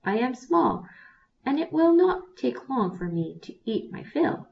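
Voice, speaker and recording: Sulky little bear cub, voiced by a woman narrator; slow, dull far-off sound. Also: high-pitched, sing-song, creaky, pitched above the performer's own voice, full character voice. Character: small young male bear, restless and greedy. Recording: distant, dull lo-fi recording.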